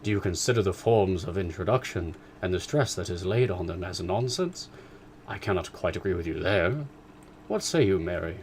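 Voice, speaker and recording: A man doing a dry, teasing old gentleman. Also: low-pitched, lively intonation, normal speed, a little hiss or hum. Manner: dry, ironic. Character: dry, teasing older gentleman, sardonic father.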